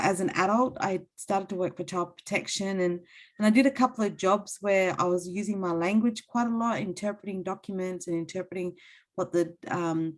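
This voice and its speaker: A woman from Central Australia whose first language is Luritja Pintupi, an interpreter talking casually as a guest, laughing easily and pausing with many ums. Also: mid-range voice.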